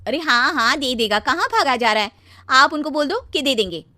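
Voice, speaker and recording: A woman voicing a demanding, sharp-tongued young Indian wife in a cartoon story, scolding and exclaiming. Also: high voice, big pitch swings, normal speed, no room sound, pitched above the performer's own voice. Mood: insistent. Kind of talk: animated cartoon story dialogue.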